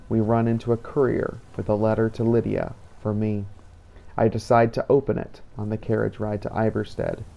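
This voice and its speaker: पुरुष द्वारा निभाया गया गंभीर, भारी आवाज़ वाला वैम्पायर शिकारी. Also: male voice, fairly low pitch, gravelly, mellow. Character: brooding male vampire hunter narrating his journal. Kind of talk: first-person journal narration, fantasy roleplay.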